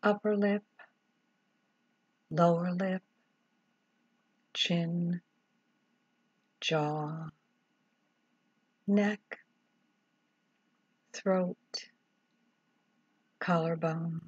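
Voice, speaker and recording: Very slow, low-voiced woman guiding meditation. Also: husky. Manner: calm, soothing, short measured phrases with long pauses. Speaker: yoga relaxation instructor. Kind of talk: guided meditation. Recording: dull, roomy, echoing sound.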